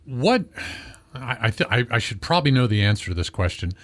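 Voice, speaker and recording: A man's voice: mid-range voice, sing-song, a little fast. Male American radio host, mid-range voice, conversational interviewing in a studio.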